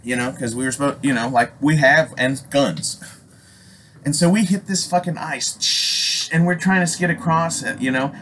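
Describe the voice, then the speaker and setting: Rambling, profane American storyteller with background noise. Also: male voice, mid-range voice, normal speed. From Alaska, United States. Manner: profane, animated, rambling, slangy. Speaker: storyteller, host. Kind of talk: casual personal storytelling, unscripted. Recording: noisy amateur recording with ambient sound.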